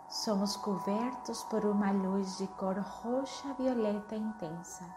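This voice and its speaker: A woman leading a guided meditation in a soothing, unhurried manner, gently giving instructions. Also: medium pitch. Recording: echoey, with music underneath. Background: music.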